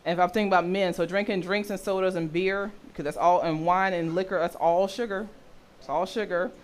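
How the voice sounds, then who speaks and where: A woman with a deep voice for a woman, teaching a health session and often checking in with her listeners. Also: fairly even intonation, a little fast, slight background noise. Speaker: health and intimacy educator.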